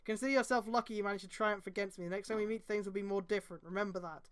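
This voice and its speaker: A woman's voice: medium pitch, fairly even intonation, racing. A woman hosting a stream, reading fan fiction aloud with exasperated, mocking, sweary casual commentary.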